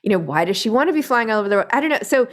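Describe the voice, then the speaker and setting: A woman's voice: on the high side, animated, very fast. A woman hosting a casual interview, chatty and informal as she thinks aloud. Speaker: podcast host interviewing an author.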